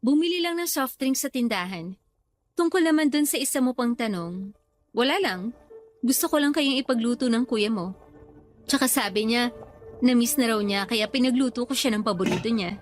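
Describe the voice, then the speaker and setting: An actress playing a young mother in a radio drama, placating and measured but quietly stubborn. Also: a little high, animated, faint music behind, close to an everyday voice. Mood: polite, placating. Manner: pleading, measured, quietly defiant. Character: young mother, brother's returned girlfriend, placating yet stubborn. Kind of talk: Tagalog radio drama acting.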